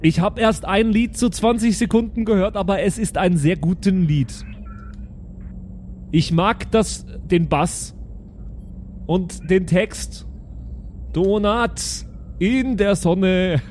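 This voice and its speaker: A man playing a cheeky, naive and excitable young schemer who talks in slang in an improvised audio drama. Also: sits high, slow pace, pauses often, slight background noise. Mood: excited, defiant.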